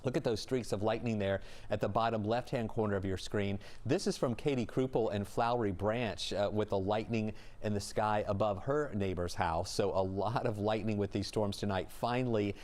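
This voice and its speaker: Fast-talking TV weatherman. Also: lowish voice, a little mellow. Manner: brisk, conversational forecasting. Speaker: TV meteorologist. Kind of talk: live weather forecast.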